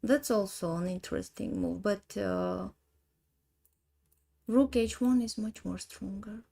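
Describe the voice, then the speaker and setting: A woman in her thirties with an Armenian accent, thinking aloud slowly in halting English as she works through chess puzzles on a live stream. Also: medium pitch, gravelly, dark tone. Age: 30s.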